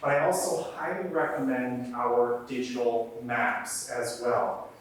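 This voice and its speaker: A man's voice: mid-range voice, steady tone, takes it slowly. A man who directs programs, giving a chatty, joking introduction at a library event. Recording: very echoey room, from far off.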